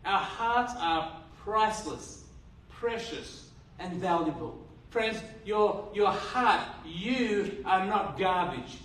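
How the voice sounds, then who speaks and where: Australian pastor preaching in an echoey hall. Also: male voice, high voice, lively intonation, takes it slowly, fairly bright tone, slight background noise. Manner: earnest, repetitive restarts, rhetorical questions. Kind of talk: sermon. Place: church hall. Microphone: handheld mic. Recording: roomy hall from a distance.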